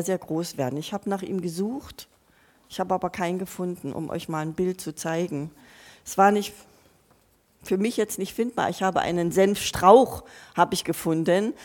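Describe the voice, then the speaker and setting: A woman's voice: lowish voice, darkish tone. A woman giving a sermon, earnest and personal, speaking straight to her listeners and often restarting or repeating her phrases as she thinks aloud. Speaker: preacher.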